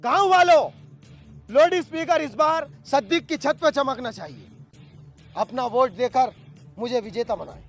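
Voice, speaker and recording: A man's voice: extremely high pitch, animated, unhurried, long pauses, heavily acted. A man playing a boastful, hot-tempered village election candidate in a theatrical comedy sketch. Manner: bombastic, theatrical, quarrelsome. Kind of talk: broad comedy sketch acting. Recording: background music under dialogue.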